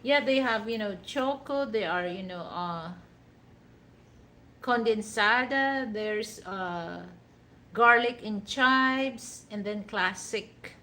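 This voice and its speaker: A woman's voice: medium pitch, slow, bright, forceful tone. A Filipino woman hosting a livestream, chatting casually and rambling with many "you know" fillers. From Philippines. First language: a Philippine language other than Tagalog. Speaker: livestream host.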